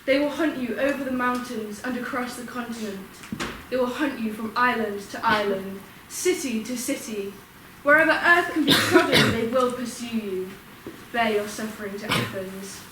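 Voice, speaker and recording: A woman's voice: fairly high pitch, normal speed, close to an everyday voice. A woman playing a proud, authoritative god in a Greek tragedy, declaiming with imperious emphasis. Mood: protective, urgent. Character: proud, authoritative god, protective of follower, contemptuous of Furies. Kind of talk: Greek tragedy stage acting. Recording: echoey room, distant mic, faint noise.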